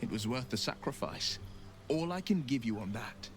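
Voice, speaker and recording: A man's voice: mid-range voice, animated, moderate pace, close to an everyday voice. Earnest, noble hero man , acted over music. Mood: earnest, resolute. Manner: heartfelt, dramatic delivery. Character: earnest, noble male warlock adventurer, proud and wronged.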